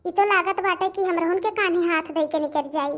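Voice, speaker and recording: An extremely high-pitched village housewife from a cartoon family comedy, acted by a woman. She has a rustic Awadhi accent and talks in a chatty, repetitive, gossipy way, like an in-law with opinions on everyone. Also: a little fast, a bit bright. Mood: grumbling, astonished. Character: village women of a household, gossiping, admiring and grumbling in-laws.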